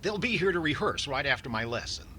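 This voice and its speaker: A man acts out a vain, penny-pinching middle-aged radio comedian. His voice sits a little high and swings wildly up and down, with fussy drawn-out exclamations. The old-time comedy recording has clear background noise. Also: very animated. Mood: bossy, stingy. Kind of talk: 1940s radio comedy acting.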